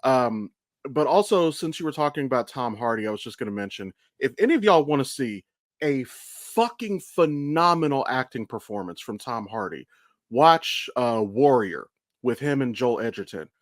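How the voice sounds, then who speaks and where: A man with a medium-pitched voice talks as a podcast co-host. His delivery is casual and blunt, full of enthusiasm and swearing. The sound is close and dry. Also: animated, normal speed, no room sound. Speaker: podcast co-host and amateur voice actor.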